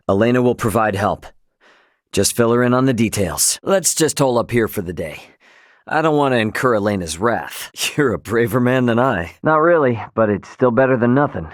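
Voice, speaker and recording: A man's voice: medium pitch, no room sound, clean studio sound, close to an everyday voice. An actor voicing a brash, loyal young male spaceship captain in a video game, with an American accent and a casual, cocky, emphatic way of talking.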